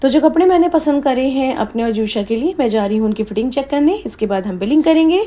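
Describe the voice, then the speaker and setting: A woman's voice: high-pitched, lively intonation, fast, background noise. A woman narrating a family vlog in a chatty, casual way, slipping English phrases into her Hindi. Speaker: vlogger.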